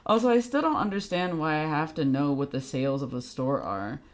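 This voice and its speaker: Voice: medium pitch, animated, fairly quick. A young adult game streamer chatting casually with viewers in a sweary, giggly, teasing manner. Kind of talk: casual livestream chat with viewers.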